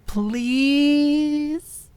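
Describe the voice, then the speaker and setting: A woman voicing an eager, higher-pitched young design student. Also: extremely slow, a little mellow, dry recording. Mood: hopeful pleading. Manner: pleading, polite. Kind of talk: dramatized audiobook dialogue.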